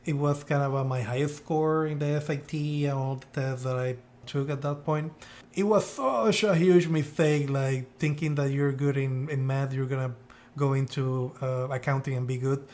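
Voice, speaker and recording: A man's voice: medium pitch, steady tone, normal speed, darkish tone. A man from Puerto Rico with a Puerto Rican Spanish accent, co-hosting a podcast in casual, thoughtful, rambling conversation. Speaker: podcast co-host.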